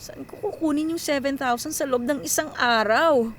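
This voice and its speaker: A woman's voice: high-pitched, normal speed, slight background noise, close to an everyday voice. A woman playing an anxious, self-sacrificing young breadwinner in a radio drama, with a Filipino accent. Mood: stressed, worried. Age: young adult. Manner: talks to herself, code-switches into English.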